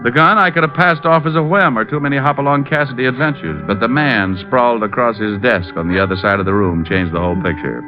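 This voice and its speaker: An actor playing a flippant, self-assured private detective with a breezy, sardonic delivery in an old-time radio drama. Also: male voice, medium pitch, fast, few pauses, lightly acted. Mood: wry narrating. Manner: breezy, sardonic, dry wit. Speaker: lead actor and narrator. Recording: dull vintage radio recording with music.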